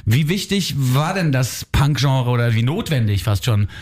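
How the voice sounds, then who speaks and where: A man's voice: mid-range voice, animated, moderate pace, a little mellow. A man hosting a radio interview in a studio, easygoing, laughing along and asking long winding questions. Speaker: radio host. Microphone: studio microphone.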